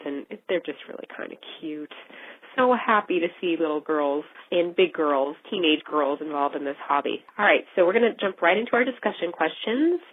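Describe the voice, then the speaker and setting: Female podcast host, stuffy from a cold, chatty, lowish, dry lo-fi audio. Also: dry recording. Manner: chatty, self-deprecating. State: has a cold. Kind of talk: casual podcast hosting. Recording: dry, lo-fi narrow sound.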